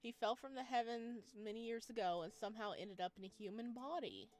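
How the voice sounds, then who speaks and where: A woman's voice: fairly high pitch, brisk. A reactive, giggly woman streaming a visual novel, commenting and reading its text aloud, with music behind. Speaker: game streamer.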